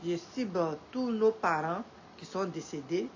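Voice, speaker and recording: A woman's voice: lowish voice, animated, normal speed, a little hiss or hum. A middle-aged woman with a head cold, hosting a live stream, greeting viewers and lecturing with lots of repetition. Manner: repeats phrases, rising emphatic when preaching. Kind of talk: slow moralizing live-stream talk. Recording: dull lo-fi stream audio with faint ambience.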